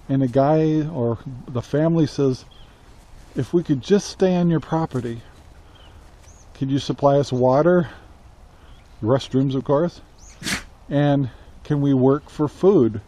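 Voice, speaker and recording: An American man in his sixties talking casually to camera outdoors, halting, reflective and plain-spoken. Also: mid-range voice, mellow. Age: 60s. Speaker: country living vlogger. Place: outdoors on a rural yard beside a metal barn. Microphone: camera at arm's length. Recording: faint outdoor ambient noise.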